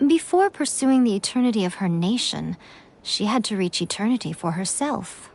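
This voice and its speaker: An actress playing a teasing, elegant, centuries-old fox shrine maiden in a video game, sly, languid and condescending. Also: female voice, medium pitch, animated, moderate pace, gravelly, darkish tone. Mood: calm, explanatory. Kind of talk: video game character dialogue. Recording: game music behind the voice.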